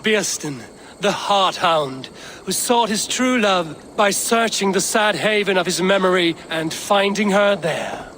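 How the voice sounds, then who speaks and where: A man playing a steady, guarded Viking raider with a measured, terse manner, in a video game. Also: high-pitched, a little hiss or hum. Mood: wry, friendly. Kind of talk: video game cutscene acting.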